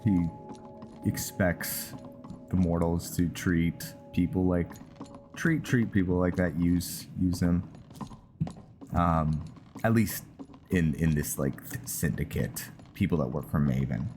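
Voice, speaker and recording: A man hosting a gaming video, giving casual, halting roleplay commentary and reading in-game text aloud. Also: deep voice, darkish tone, faint music behind. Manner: hesitant, halting delivery. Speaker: gaming channel host.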